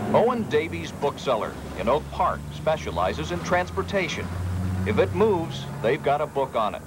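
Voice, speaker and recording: An American man working as a television reporter, reading scripted feature narration. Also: mid-range voice. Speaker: TV news reporter. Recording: faint background noise, 1980s TV broadcast.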